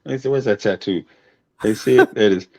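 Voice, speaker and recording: A man's voice: lowish voice, fast talker, gravelly, no echo. A man in his forties chatting casually on an online show, laid-back and rambling, swearing now and then. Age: 40s. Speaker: co-host. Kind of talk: casual chat. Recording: dull lo-fi sound.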